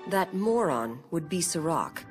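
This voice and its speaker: A woman playing a composed, formal young female Vulcan scientist in a sci-fi game, speaking in a measured, precise way. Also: low-pitched, very animated. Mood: grave, composed. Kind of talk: scripted sci-fi game acting. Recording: music loud under the voice.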